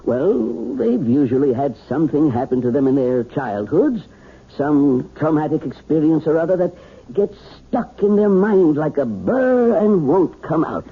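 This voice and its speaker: On a narrow, phone-like old radio recording, a plain-spoken family doctor offers tentative reassurance. His voice is very husky and a little high, rising and falling freely in a folksy way. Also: sing-song, a little slow, exaggerated voice. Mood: explanatory, gentle. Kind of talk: 1970s radio drama acting. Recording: muffled, tinny old radio broadcast.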